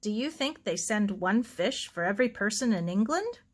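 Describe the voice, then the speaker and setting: A woman's voice: medium pitch, expressive, moderate pace, close to an everyday voice. A woman voicing a curious, earnest young girl in a storybook, eager and innocent.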